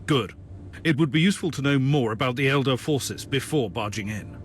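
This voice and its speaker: A man's voice: mid-range voice, fairly quick, a little hiss or hum, natural voice. A man playing a stern, ruthless human inquisitor in a video game, with a blunt, authoritative delivery. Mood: resolute, wary. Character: stern, commanding male human Inquisitor psyker, ruthless investigator. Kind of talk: dramatic video game acting.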